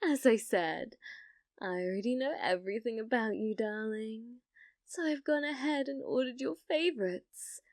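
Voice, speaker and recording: Girl voice actor doing clingy yandere girlfriend asmr, higher voice, really slow, sweet but kinda threatening, super dry close sound. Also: no room sound, lightly acted. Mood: tender, doting. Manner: sugary, coaxing, with veiled menace. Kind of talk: ASMR roleplay, addressing the listener as a date.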